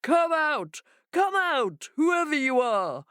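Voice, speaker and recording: Lady doing a show-off jackal guy, loud and bouncy. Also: mid-range voice, sing-song, slow, dry recording, clean studio sound, pitched above the performer's own voice. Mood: brash. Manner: brash and shouting. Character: proud male jackal.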